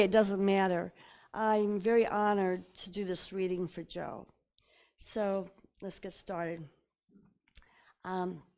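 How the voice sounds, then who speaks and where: A soft-spoken woman addresses mourners in a funeral home chapel. She talks gently and sincerely, with small pauses and fillers, moving into a measured scripture reading at an even middle pitch. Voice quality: soft-voiced. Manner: gentle, heartfelt, slightly hesitant with fillers. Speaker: family member speaking at a memorial service. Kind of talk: memorial speech and scripture reading.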